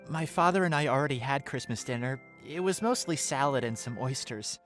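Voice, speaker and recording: A man plays a lonely, well-mannered teenage boy in an audio drama. He speaks gently and earnestly at a middling pitch, with music clearly heard behind. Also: animated, a little fast, natural voice. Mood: wistful. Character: polite, wistful, lonely teenage son. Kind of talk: fan audio drama acting.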